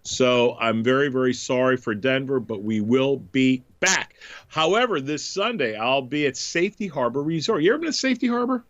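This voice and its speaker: A man's voice: mid-range voice, lively intonation, moderate pace, a bit bright, no echo, muffled recording. A man hosting a comedy podcast, talking casually with a stuffed-up nose from a cold, jokey and full of fillers. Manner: chatty, jokey, many filler ums. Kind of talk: casual radio chat.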